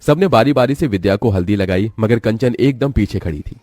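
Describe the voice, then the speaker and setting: A man's voice: fairly low pitch, animated, a little fast, a little mellow, dry recording, studio quality. A man narrating a scripted story as its storyteller.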